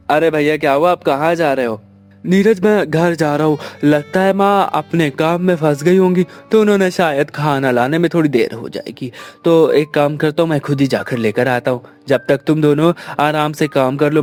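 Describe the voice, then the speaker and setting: A man's voice: a little high, a little mellow, natural voice. A man voicing a dutiful, caring adult son in a Hindi cartoon village story, with earnest, dramatic delivery.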